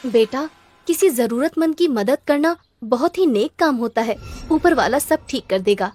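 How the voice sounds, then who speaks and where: A woman's voice: high voice, expressive, normal speed, darkish tone. A woman voicing a kind, devout but strict village mother in a children's cartoon story. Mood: kind, caring.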